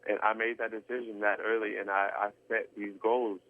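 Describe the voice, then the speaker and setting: A young man from Texas, speaking in an earnest, rambling way, full of restarts. His voice sits fairly low and comes through a tinny, narrow phone line. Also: level tone, brisk. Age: 20s. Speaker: guest drummer. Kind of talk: casual interview chat.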